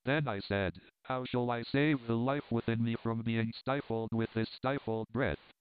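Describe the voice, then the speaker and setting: A frail, dying visionary, fervent yet quiet, who speaks in measured phrases to save his breath, as a man reads him aloud. His voice is very smooth and clear. It comes through a narrow, phone-like line. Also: medium pitch, fairly even intonation, no echo, lightly acted. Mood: solemn. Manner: quiet, measured, holding back his strength. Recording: dry, tinny phone-like sound.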